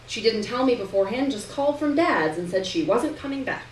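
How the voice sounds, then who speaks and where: A woman giving an expressive, dramatic first-person reading of a story at a live literary event. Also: on the high side, fairly quick. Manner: dramatic delivery, acting out dialogue. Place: indoor room at a live reading. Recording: roomy, distant live recording with noise.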